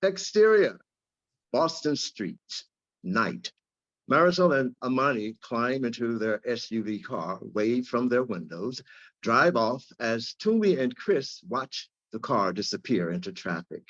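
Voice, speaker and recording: Mid-pitched male narrator, dull lo-fi sound. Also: sing-song, unhurried, fairly bright tone. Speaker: stage-direction reader. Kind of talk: scripted narration at a live script read. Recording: dull lo-fi audio.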